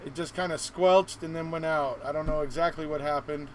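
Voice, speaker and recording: A man's voice: a little high, fairly even intonation, brisk, slight background noise. A man hosting a live stream in a casual, chatty style.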